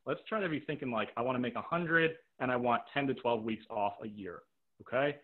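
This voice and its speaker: A man's voice: mid-range voice, fairly quick. Man, webinar coach, direct and practical, repeats himself for emphasis, voice tiring, thin phone-like audio. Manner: checks in with rhetorical "right?" and "okay?". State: voice tiring from talking all day. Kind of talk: live webinar teaching with audience questions. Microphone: video call microphone. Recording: narrow, tinny video-call audio.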